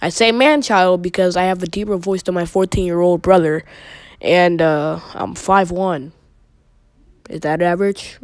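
A man's voice: sits high, steady tone, normal speed, smooth, no echo, studio quality. A young male gaming YouTuber giving a rambling, joking intro to his video, talking casually with lots of filler words. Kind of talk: casual self-filmed intro.

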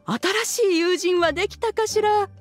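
A woman's voice: very high voice, moderate pace, soft background music. An actress playing a dignified female immortal who puts on doting auntie manners in a Japanese video game. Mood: sweetly doting. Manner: switches between archaic stately speech and sweet chatty auntie talk.

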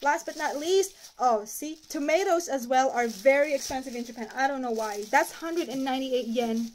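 A woman's voice: sits high, moderate pace. A woman in her thirties from the Philippines, chatting casually to a phone camera as she unpacks groceries in a small apartment kitchen. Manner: chatty, holds items up to the camera. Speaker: vlogger. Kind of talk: casual chat. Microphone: phone camera at arm's length. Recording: noisy phone recording.

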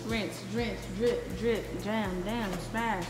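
A woman's voice: medium pitch, steady tone, slow pace. A young woman chatting casually while cooking, playful and giggly, sometimes half-singing her words. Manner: playful, giggly, sing-song. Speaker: cook in a cooking video. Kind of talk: casual chat. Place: home kitchen. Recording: echoey kitchen with loud music.

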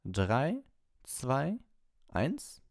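Man voicing a stern, high-pitched woman referee. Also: wildly swooping pitch, very slow, creaky, dry recording, studio quality, full character voice. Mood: commanding. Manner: clipped, authoritative calls. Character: stern, commanding woman flying referee at a school match.

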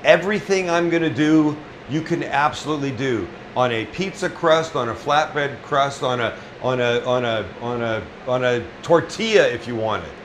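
A man's voice: medium pitch, normal speed, hardly any pauses, fairly bright tone, a little hiss or hum. A middle-aged man hosting a casual cooking demonstration in a small restaurant kitchen, joking bluntly and swearing freely. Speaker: chef and cooking show host.

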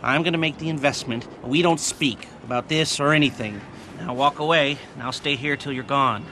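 An actor playing an impatient, scheming middle-aged American fixer in a game cutscene, clipped and scornful. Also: male voice, medium pitch, normal speed, pushed and bright, slight background noise. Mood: businesslike. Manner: clipped, sarcastic, businesslike. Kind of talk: video game cutscene acting.

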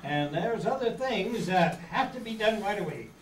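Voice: mid-range voice, expressive, normal speed, close to an everyday voice. An amateur performer playing a bossy, practical young castaway leader in an old-time children's radio serial. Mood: practical, brisk. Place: community meeting room before an audience. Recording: echoey room, distant camera mic, hiss.

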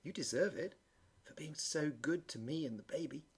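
A woman's voice: deep voice, moderate pace, husky, pitched below the performer's own voice, exaggerated voice. A woman voicing a kind, proud, poor young mother in a storybook. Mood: kindly. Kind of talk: storybook dialogue reading.

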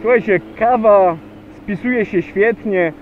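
A man with a high voice talks casually into a helmet mic while he rides a motorcycle. He pauses often and fills the gaps with little hesitations. The sound is tinny and narrow, with faint engine and wind noise underneath. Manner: chatty, hesitant with many fillers. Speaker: motovlogger. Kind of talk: casual riding vlog narration. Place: on a motorcycle riding on a road outdoors.

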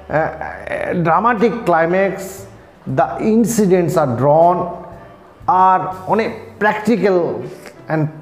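A male lecturer with an Indian Bengali accent speaks in a fairly high voice at a very slow pace. His delivery is formal and deliberate, with halting phrasing, as in a scripted lesson. The room adds a clear echo around his words. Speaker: English literature lecturer. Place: small bare-walled indoor room. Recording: echoey room.

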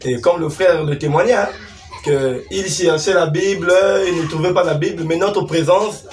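A man's voice: on the high side, moderate pace. A man in his thirties with a Congolese accent giving informal religious exhortation, repeating phrases for emphasis. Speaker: preacher commenting on testimonies. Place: small living room indoors. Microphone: camera microphone from a short distance. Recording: faint background noise, room ambience.

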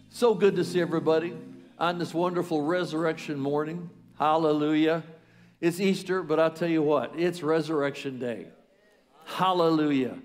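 A man's voice: a little high, steady tone, moderate pace. An older man with a Southern US accent, a pastor leading a fervent prayer and sermon from a large church stage at a podium mic. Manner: fervent, repeats praise phrases. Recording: long hall reverb with music.

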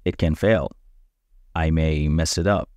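A podcast host, a man whose first language is Farsi, speaks in a very low voice. He talks in an earnest, measured, reflective way. The recording is dry and close, with no room sound. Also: steady tone, darkish tone, no room sound. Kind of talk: scripted podcast introduction.

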